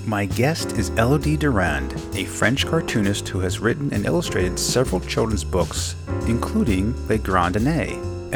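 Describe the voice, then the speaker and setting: A man hosting a comics interview show, reading a measured introduction and asking questions. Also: fairly low pitch, creaky. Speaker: podcast host.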